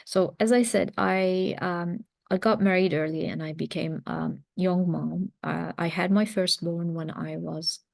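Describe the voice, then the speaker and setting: A woman of about forty speaks as a parenting coach in a very dry, close-sounding recording. Her voice sits a little low with plenty of vocal fry. She is earnest and reflective, halting in places as she talks personally to her listeners. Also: fairly even intonation, no room sound. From mountains of Lebanon. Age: around 40. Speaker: parenting coach, series host. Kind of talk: personal talk introducing a series.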